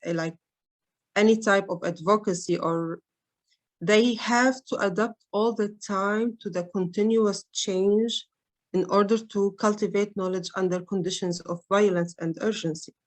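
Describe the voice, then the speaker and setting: A woman's voice: mid-range voice, fairly even intonation, normal speed. Hesitant woman. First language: Arabic. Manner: hesitant, many fillers and restarts. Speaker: researcher on a panel. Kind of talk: conference roundtable remarks.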